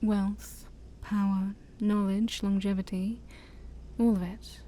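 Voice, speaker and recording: A woman playing an ancient demon princess, haughty yet shy, in a soft-spoken ASMR roleplay that often drops to a whisper. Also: mid-range voice, level tone, slow, close to an everyday voice. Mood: defensive, persuasive. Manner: formal, teasing, hesitant, sighing.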